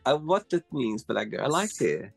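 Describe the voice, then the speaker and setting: A man's voice: medium pitch, sing-song, moderate pace, creaky, faint music behind. A man with a Brazilian accent hosting a casual interview, effusive and full of "you know" fillers. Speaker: host.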